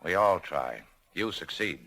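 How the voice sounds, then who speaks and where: An actor in a 1940s radio drama playing a bitter, heartbroken, hard-drinking saloon owner, terse and world-weary. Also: male voice, low voice, expressive, unhurried, bright, forceful tone, exaggerated voice. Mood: guarded, curt. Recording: old radio broadcast with music behind.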